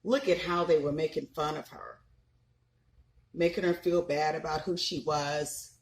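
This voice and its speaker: A woman in her thirties reading a children's picture book aloud, pausing to ask the listeners questions. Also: low-pitched. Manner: expressive, asks listeners questions.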